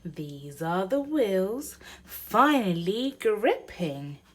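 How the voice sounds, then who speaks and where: A woman reading a children's storybook aloud in an expressive, sing-song way, addressing young children. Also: medium pitch, very slow. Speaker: mother and presenter of a children's read-aloud channel.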